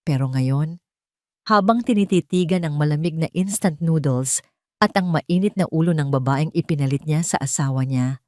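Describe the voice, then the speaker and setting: A woman narrating a scripted audio drama in a steady, measured storytelling manner. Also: sits low, dry recording, clean studio sound.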